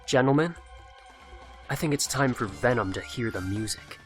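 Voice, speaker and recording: A man acting an intense, sardonic, vengeful but principled superhero in an animated futuristic drama. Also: medium pitch, normal speed, loud music behind the voice, natural voice. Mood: commanding.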